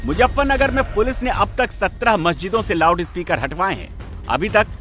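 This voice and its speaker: A man's voice: high-pitched, expressive, fairly quick, over music. A man reading a dramatic scripted TV news voice-over in a punchy, emphatic way. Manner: punchy, emphatic, repetitive phrasing. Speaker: news reporter narrator.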